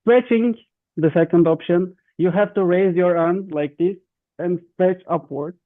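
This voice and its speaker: A man with a Turkish accent explains instructions to an online group, halting and repeating himself in non-native English. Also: sits high, no echo. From Turkey. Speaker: youth webinar facilitator. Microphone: video call. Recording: thin, dry phone-like online call.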